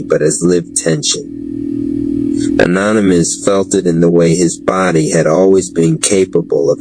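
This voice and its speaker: A man giving a scripted, solemn and measured spoken-word narration of dark fantasy, with dramatic phrasing. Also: low-pitched, low-quality recording. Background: lofi background music.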